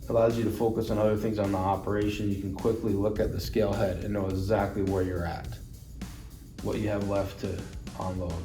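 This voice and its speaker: A man's voice: lowish voice, flat delivery, a little fast. A man giving an instructional product demonstration, explaining things plainly and step by step. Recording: roomy echo, distant mic, music underneath.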